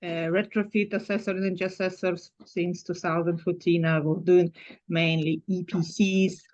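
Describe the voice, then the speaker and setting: A woman's voice: on the low side, little pitch movement, moderate pace, darkish tone. A woman with a Ukrainian accent introducing herself as a retrofit assessor on a webinar video call, speaking in a direct, matter-of-fact way. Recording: muffled video call audio.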